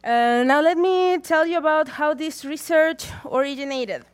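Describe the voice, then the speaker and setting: A young woman speaks from a conference stage, her voice sitting a little higher than most. She talks in a measured, deliberate way, moving steadily through prepared remarks. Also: faint music behind. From Chile.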